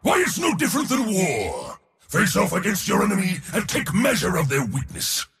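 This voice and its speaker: An actor playing an arrogant, bloodthirsty war god for a video game, with a pompous, declamatory delivery. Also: male voice, high voice, huge pitch swings, moderate pace, creaky, a bit bright, long reverb tail, exaggerated voice. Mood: scornful, impatient. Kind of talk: theatrical video game character acting.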